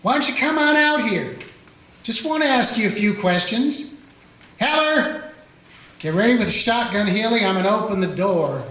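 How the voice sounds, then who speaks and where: Frontier sheriff, played by a man, calm folksy narrator, high-ish voice, roomy echo. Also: normal speed. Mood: steady. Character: small-town Old West sheriff, steady and dry. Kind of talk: radio play acting. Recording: echoey room.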